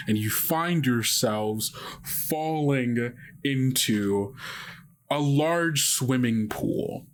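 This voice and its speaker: A man speaking as podcast host and game master, casually introducing and narrating an improvised tabletop game. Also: mid-range voice.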